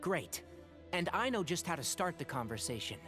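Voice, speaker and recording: An actor playing a polite, earnest young scholar with medical training in a video game. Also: male voice, on the high side, big pitch swings, normal speed, over music. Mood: thoughtful. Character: thoughtful young male scholar and healer. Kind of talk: game voice acting.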